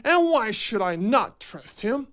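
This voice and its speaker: Pompous high-voiced villain, theatrical. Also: male voice, big pitch swings, slow pace, fairly bright tone, full character voice. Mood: smug. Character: gloating male villain scheming to rule the world. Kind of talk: fan game cutscene voice acting.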